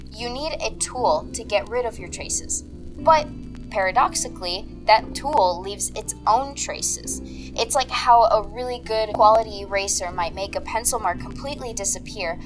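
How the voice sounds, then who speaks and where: A woman's voice: mid-range voice, natural voice, poor audio. A woman playing an analytical, unflappable paranormal investigator in an audio drama, speaking in a methodical, precise way. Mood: thoughtful, determined. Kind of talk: audio drama dialogue, measured theorizing.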